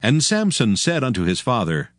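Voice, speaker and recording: A man narrating dramatized scripture as a solemn, measured storyteller. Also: medium pitch, huge pitch swings, fairly bright tone, no echo, clean studio sound.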